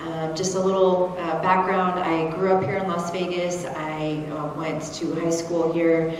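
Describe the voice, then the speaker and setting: A woman attorney introducing herself on a panel in a measured way with many fillers, recorded far off in a large hall. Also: lowish voice, little pitch movement, a little hiss or hum. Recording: roomy hall sound from far off.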